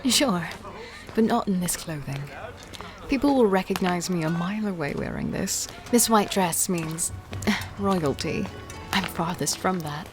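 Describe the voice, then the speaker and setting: An actress playing a guarded, quietly confident healer in a fantasy audio drama, with a deliberate, hushed delivery. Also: female voice, fairly low pitch, animated. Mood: wry. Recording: music playing behind.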